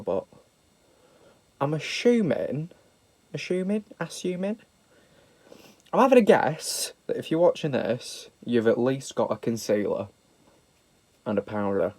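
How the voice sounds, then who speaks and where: A man's voice: on the low side, a little mellow, no echo. A young British man with a slightly nasal voice from a sniffle, chatting casually and self-mockingly through a makeup tutorial. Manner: sweary, camp and self-mocking. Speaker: makeup vlogger.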